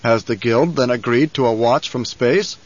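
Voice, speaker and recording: A man voicing a menacing, self-important male nobleman villain in an audiobook, with a haughty, emphatic and deliberate delivery. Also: mid-range voice, highs cut off, close to an everyday voice. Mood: smug, guarded.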